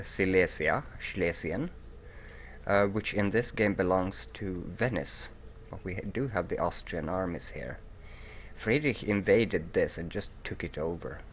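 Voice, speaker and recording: A man's voice: low-pitched, a bit bright, slight background noise. A man with a groggy morning voice hosting a game playthrough, rambling with many ums and restarts. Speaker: let's play host. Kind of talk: casual game commentary.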